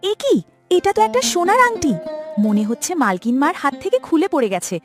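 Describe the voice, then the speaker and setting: A high-pitched village girl, played by a woman, devoted and protective as a cartoon big sister. Her delivery is earnest and emotional, rising and falling widely. Faint music sits behind her. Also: sing-song, a little fast. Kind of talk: Bengali cartoon moral-story voice acting.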